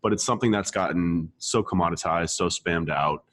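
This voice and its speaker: A man's voice: low-pitched, normal speed, a bit bright, slight background noise. A man hosting an interview show, talking in a casual, conversational way.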